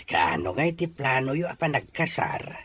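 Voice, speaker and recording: A man's voice: medium pitch, very animated, moderate pace, a bit bright, breathy edge. A man playing a kindly middle-aged father in an audio drama, with an Ilocano accent. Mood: kindly, advising. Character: kindly, approving father advising his son.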